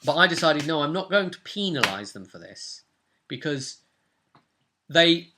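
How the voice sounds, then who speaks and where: A man's voice: a little high, very animated, a little slow, background noise. A young man casually recapping a tabletop role-playing session as game master. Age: young adult. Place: small furnished room at home.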